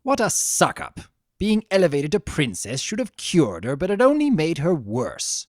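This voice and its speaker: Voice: mid-range voice, huge pitch swings, normal speed, no echo, voice pushed up. A reader voicing a sly, theatrical old male dragon-like creature, dry and sardonic, in a fan fiction reading. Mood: dismissive. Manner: dry, sardonic, mock-dramatic.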